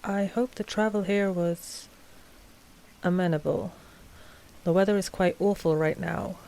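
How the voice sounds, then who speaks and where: A woman playing an anxious, tender vampire noblewoman in an ASMR roleplay, speaking softly and hesitantly with sighs. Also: lowish voice, fairly even intonation, measured pace, vocal fry, low-quality recording. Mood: nervous, formal. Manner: soft, hesitant, sighing.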